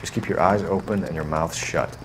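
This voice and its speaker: An actor playing a serious, commanding American police detective in a crime drama game, with a clipped, businesslike delivery. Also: male voice, low voice, fairly quick, background noise, natural voice.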